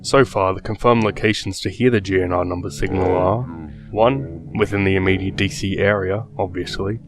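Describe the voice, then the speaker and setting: Low-voiced man narrating over guitar music. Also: steady tone, moderate pace. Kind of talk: scripted horror story narration.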